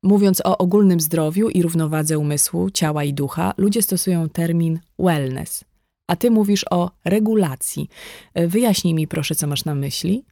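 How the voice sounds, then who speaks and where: A woman's voice: lowish voice, normal speed, warm tone, dry recording, clean studio sound. A woman reading a conversational non-fiction audiobook in a scripted narration style. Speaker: audiobook reader.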